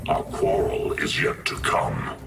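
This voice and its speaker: An actor playing an ancient, ominous sorcerer in a video game, solemn and portentous like a prophet of doom. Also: male voice, a bass voice, sing-song, slow pace, gravelly, far from the mic, over loud music, heavily acted. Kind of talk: dramatic video game dialogue.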